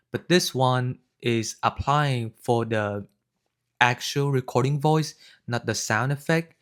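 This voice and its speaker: A man hosting a software tutorial, explaining each step in an unscripted, halting way. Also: medium pitch. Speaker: tutorial host.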